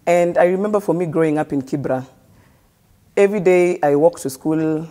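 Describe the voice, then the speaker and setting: A woman's voice: low voice, moderate pace, mellow. A Kenyan woman, a guest in an interview, telling her life story earnestly and switching between English and street slang.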